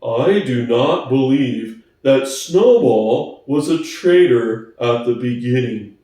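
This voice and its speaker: A man voicing an earnest, slow-witted, loyal big workhorse in a storybook reading, with a laboured, stolid delivery. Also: mid-range voice, expressive, mellow, pitched below the performer's own voice. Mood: uneasy, firm. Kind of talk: dramatic audiobook reading. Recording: echoey room, distant from mic.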